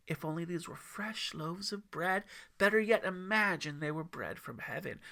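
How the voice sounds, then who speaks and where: Man voicing a taunting theatrical devil, sharp and forceful. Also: a little high, creaky, full character voice. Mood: goading. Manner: mocking, theatrical, drawn-out emphasis. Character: male devil Lucifer, taunting, scornful, theatrical tempter.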